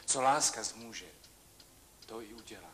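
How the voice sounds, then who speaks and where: An actor playing an ardent young lover who declaims verse solemnly. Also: male voice, fairly low pitch, noisy background, lightly acted.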